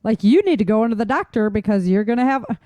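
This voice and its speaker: A woman's voice: a little high, lively intonation, fast, warm tone, no room sound. A woman co-hosting a talk show in informal, conversational chat. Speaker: show co-host. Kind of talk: casual chat.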